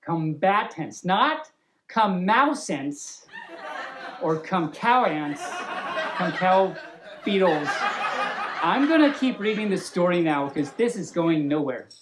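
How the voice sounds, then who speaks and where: A woman's voice: on the low side, expressive, takes it slowly, a little hiss or hum, rough recording. A woman reading a humorous story aloud as host, playful and giggly, breaking off for casual asides. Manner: playful, giggly, stumbles over words and riffs on them.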